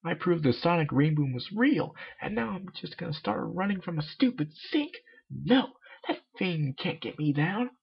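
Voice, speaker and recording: A man's voice: a little high, very animated, normal speed, vocal fry, slightly rough, voice pushed up, exaggerated voice. A man voicing a brash, boastful female pegasus pony in a fan story reading, with dramatic exclaiming. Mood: frustrated. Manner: dramatic, self-important exclaiming. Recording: dull lo-fi home recording.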